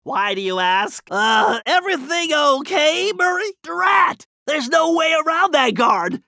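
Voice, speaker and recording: Brainy cautious turtle hacker, video game cartoon, nasal, gravelly creak, precise and wordy, dry close sound. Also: male voice, very high voice, sing-song, unhurried, pushed and bright, no room sound, exaggerated voice. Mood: alarmed. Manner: precise, wordy, slightly fussy.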